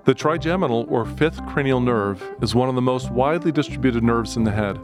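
A man's voice: medium pitch, brisk. Podcast host man over guitar music. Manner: clear, scripted delivery. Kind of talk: scripted podcast intro and interview.

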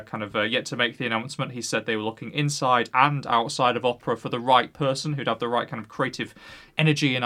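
Male podcast host, quick and bright, chatty, radio studio. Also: medium pitch. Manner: quick, chatty, restarts often. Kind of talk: casual opera podcast chat.

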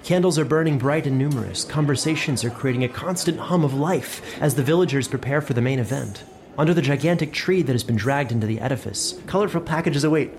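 A man's voice: medium pitch, fast, faint music behind. A man giving scripted narration for a fantasy story.

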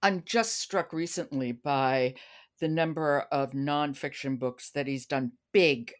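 A woman's voice: sits low, slow. A woman hosting a podcast in a conversational book discussion. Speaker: podcast host.

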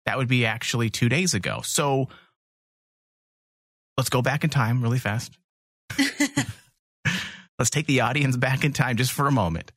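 A male podcast co-host with a mid-pitched voice talks casually about fandom. He is emphatic and jokey, and the recording is close and dry. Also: measured pace, pauses often, fairly bright tone, no echo. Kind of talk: casual fan podcast chat.

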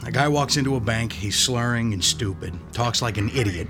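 A man's voice: mid-range voice, brisk, lightly acted. An actor playing a skeptical male police detective who asks blunt, incredulous questions. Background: music.

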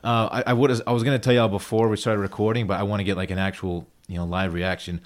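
A man's voice: lowish voice, quick pace. A man hosting a casual podcast, telling stories with eager, jokey energy. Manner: animated storyteller, eager and jokey. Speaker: podcast host.

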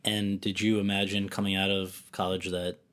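A man's voice: sits low, flat delivery, normal speed, fairly bright tone. A man interviewing an actress, asking friendly, rambling questions. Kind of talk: conversational interview.

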